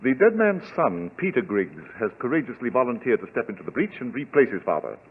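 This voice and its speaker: An actor playing a courteous, stately English lord in an old-time radio drama, formal and oratorical. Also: male voice, medium pitch, sing-song, brisk, breathy edge. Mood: proud, solemn. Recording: muffled, thin old radio recording.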